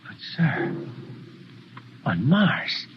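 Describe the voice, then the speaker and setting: Eager young space officer, high voice, animated delivery, halting, muffled vintage radio recording. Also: male voice, a little hiss or hum, heavily acted. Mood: earnest, anxious. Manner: hesitant, stammering eagerness. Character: earnest, excitable young male spaceship lieutenant. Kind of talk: 1950s radio drama acting.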